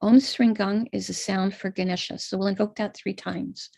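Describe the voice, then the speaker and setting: An American woman with a gravelly edge of vocal fry talks patiently through a chart, one box at a time. Her voice is recorded dry and close on a computer mic for a live stream, with no room sound around it. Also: mid-range voice, steady tone, normal speed, a little mellow, no room sound. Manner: patient, explanatory, walks through a chart step by step. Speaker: astrologer leading a ritual. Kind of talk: live-streamed ritual instruction.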